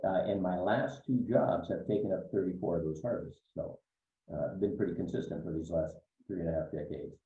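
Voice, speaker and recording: An older man from Northern California, a winemaker explaining wines in a relaxed way with many fillers, recorded from a distance. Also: sits low, brisk, creaky. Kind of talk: explaining wines in a virtual tasting. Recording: distant, echoey, phone-like sound.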